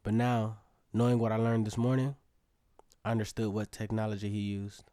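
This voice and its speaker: A man's voice: on the low side, monotone, moderate pace, dark tone, clean tone, no room sound, lightly acted. A man playing a curious, adventurous young storyteller, narrating a scripted audio drama in the first person. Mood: intrigued, musing.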